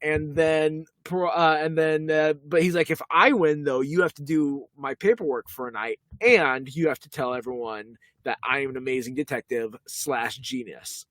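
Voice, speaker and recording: A man co-hosts a casual podcast, talking through a TV episode. His voice sits a little high, and he animates his retelling with quick character voices. The sound is dry and close. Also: a bit bright, no room sound. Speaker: podcast co-host. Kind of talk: casual chat about a TV episode.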